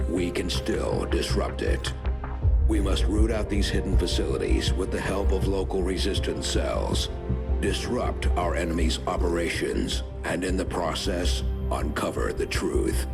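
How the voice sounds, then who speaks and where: A man playing a grave, commanding resistance leader in a video game, speaking formally and deliberately with a gravelly, ominous delivery. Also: deep voice, lively intonation, exaggerated voice. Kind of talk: scripted video game briefing. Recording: loud music behind.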